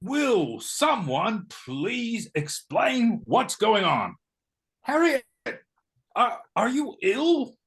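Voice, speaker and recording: A man playing a blustery, oblivious knighted gentleman in a comic stage play, sounding puzzled. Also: high-pitched, sing-song, very slow, heavily acted. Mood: bewildered. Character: bewildered, blustery English-style knighted gentleman, oblivious husband.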